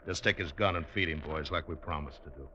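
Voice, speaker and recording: A man acting an unhurried, folksy, drawling American sheriff in an old-time radio western. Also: rumbling low, bright, forceful tone, dull audio, slight background noise. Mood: calm, coaxing. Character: unhurried Old West sheriff coaxing trapped outlaws.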